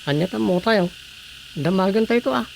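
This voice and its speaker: An actor playing a concerned, grumbling village councilor in a radio drama comedy, with a Filipino accent. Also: male voice, high voice, normal speed, vocal fry, poor audio. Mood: concerned, curious. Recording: faint background noise.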